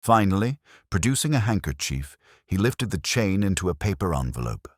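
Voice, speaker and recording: A man narrating a scripted mystery story in a measured, dryly wry manner. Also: deep voice, very animated, dry recording, clean studio sound.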